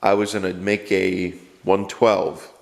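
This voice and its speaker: A man's voice: deep voice, little pitch movement, takes it slowly, vocal fry, long reverb tail. A man with a stuffy cold, rambling casually to camera about his lawn tractors and restarting his sentences as he goes. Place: workshop.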